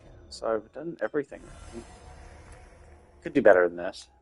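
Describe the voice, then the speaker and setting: A man's voice: on the low side, very animated, normal speed, darkish tone, soft background music. A man streaming a game live, thinking aloud in a loose, rambling way with lots of restarts. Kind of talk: casual live-stream commentary while playing.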